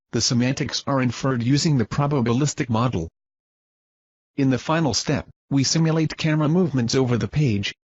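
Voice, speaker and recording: A man reads a scripted research narration in a formal, measured manner, like a presenter explaining a technical method. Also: medium pitch, a little mellow, breathy edge, no room sound, muffled recording.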